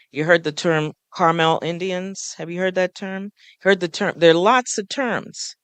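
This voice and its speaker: A man's voice: on the high side, a bit bright, clean tone, no echo. A man, a folklorist, speaking to a live audience on a panel in a small café, earnest and coaxing, often putting questions to the crowd.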